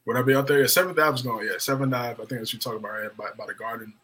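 A man co-hosting a casual sports podcast over a video call, excitable and prone to repeating himself. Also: mid-range voice, rapid-fire, breathy edge. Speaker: podcast co-host.

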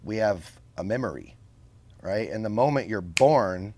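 A man's voice: fairly low pitch, lively intonation, unhurried, a little mellow, a little hiss or hum. A man as a podcast guest, explaining his views earnestly and often checking in with "right?" and rhetorical questions. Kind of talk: casual conversational interview.

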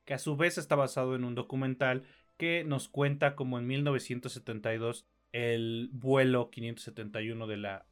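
A man's voice: medium pitch, a bit bright. A man with a Mexican accent co-hosting a podcast, reviewing films in a casual, slangy and opinionated way. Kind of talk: casual conversational film review.

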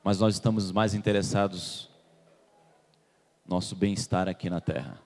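A man's voice: low-pitched, fairly even intonation, moderate pace, a little mellow. A man preaches to a congregation, asking them questions and waiting for their answers. His voice rings with a long reverb through the church hall. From Salvador, Bahia, Brazil. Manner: questions the congregation and waits for replies. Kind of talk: sermon.

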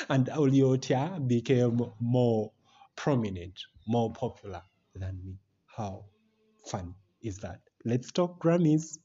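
A man with a Ugandan accent hosting a podcast, chatting casually and addressing listeners directly, with a husky voice. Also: mid-range voice, lively intonation, takes it slowly, dark tone, highs cut off. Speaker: podcast host. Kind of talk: casual solo podcast commentary.

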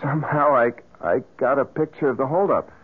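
Radio play role: male actor as anxious, halting businessman tipster, husky edge, narrow vintage broadcast sound. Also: mid-range voice, natural voice. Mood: nervous, hesitant. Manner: halting, stammering. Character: nervous businessman phoning in a crime tip, hesitant and eager. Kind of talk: old-time radio drama acting. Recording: muffled lo-fi vintage radio recording.

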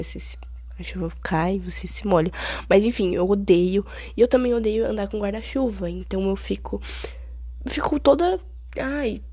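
A Brazilian teenage girl chatting through her own podcast, her voice congested from a cold, rough with vocal fry and a husky edge. She rambles and complains with dramatic flair. Her tone sits a bit high yet mellow and dark. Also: no echo. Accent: Brazilian Portuguese. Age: late teens. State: stuffy-nosed with a cold. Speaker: podcast host. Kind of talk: casual solo podcast chat.

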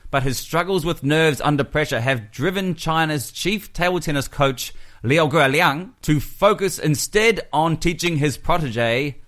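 A man's voice: fairly high pitch, measured pace, hardly any pauses, a bit bright. A man co-hosting a casual sports podcast, chatting and reading news items aloud with jokes. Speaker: podcast co-host.

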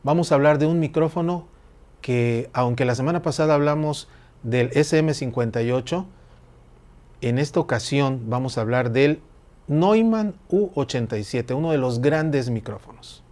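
Man hosting a relaxed interview. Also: medium pitch, moderate pace. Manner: friendly, talks in long winding questions. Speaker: radio host interviewing a guest. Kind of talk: conversational interview.

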